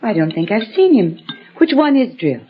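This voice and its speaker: Animated, indulgent mother. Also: medium pitch, moderate pace, breathy edge. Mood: amused, chiding. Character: indulgent, mildly stern mother of a young girl. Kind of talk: mid-century American radio drama acting. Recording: muffled, noisy old radio recording.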